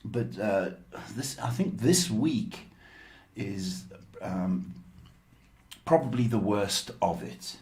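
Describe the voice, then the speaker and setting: A man hosting a psychic tarot livestream, talking slowly and playfully, tired, giving readings with pet names. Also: fairly low pitch, expressive, a little mellow.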